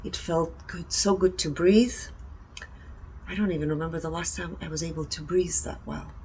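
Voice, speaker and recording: A woman's voice: lowish voice, fairly even intonation, moderate pace, warm tone, a little hiss or hum, rough recording. A woman presenting findings and personal commentary in short, emphatic, earnest phrases. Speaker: presenter reporting energy readings.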